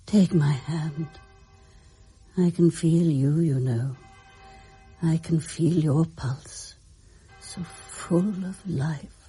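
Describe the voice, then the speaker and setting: Husky, frail dying woman. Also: low-pitched, extremely slow, long pauses, dark tone, faint music behind, exaggerated voice. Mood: weak, tender. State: weak, in pain. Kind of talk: radio drama acting.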